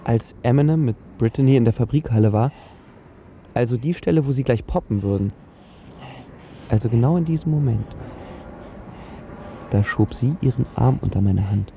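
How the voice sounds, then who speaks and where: Man playing a slangy lovestruck teenage boy, slightly gravelly. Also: mid-range voice, normal speed, pauses often, phone-quality sound, a little hiss or hum, close to an everyday voice. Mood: excited, awestruck. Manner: slangy, colloquial. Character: lovestruck, self-doubting seventeen-year-old boy from a freewheeling family. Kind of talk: scripted first-person radio drama narration.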